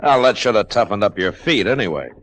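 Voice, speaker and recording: An actor playing a watchful, world-weary American frontier marshal, measured and dry, in an old-time radio western. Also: male voice, lowish voice, lively intonation, a bit bright, a little hiss or hum. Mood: dryly amused.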